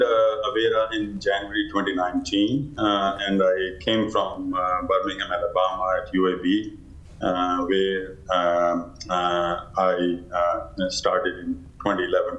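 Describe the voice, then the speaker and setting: A middle-aged man, a transplant physician, answering interview questions haltingly over a video call. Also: low voice, fairly bright tone. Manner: halting, many pauses. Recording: roomy, dull video call audio.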